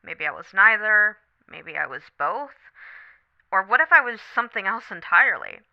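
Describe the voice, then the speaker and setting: A woman playing a supportive, candid best friend on a late-night call in an ASMR roleplay, talking casually, gently and thoughtfully. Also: mid-range voice, very animated, normal speed, gravelly, highs cut off. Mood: reflective, vulnerable. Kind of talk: scripted ASMR roleplay, one side of a phone conversation.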